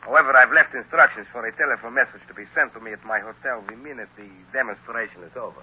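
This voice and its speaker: A man's voice: mid-range voice, fast talker, slight background noise, lightly acted. A man playing a sinister, formally polite villain professor in old-time radio drama, controlled and menacing. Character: smooth-mannered, sinister professor villain, a murderous hypnotist. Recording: muffled old radio recording.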